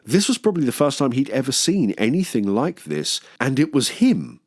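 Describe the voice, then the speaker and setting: A man's voice: medium pitch, very animated, moderate pace, no echo, clean studio sound. A man hosting a film review, delivering scripted commentary with wry, enthusiastic jokey asides. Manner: enthusiastic, wry, breaks into chuckles.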